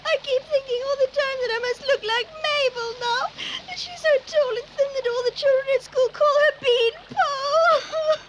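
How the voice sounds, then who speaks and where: A woman's voice: very high voice, expressive, normal speed, background noise. A woman voicing a curious, polite and emotional young schoolgirl in a cartoon. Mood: distressed. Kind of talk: cartoon voice acting.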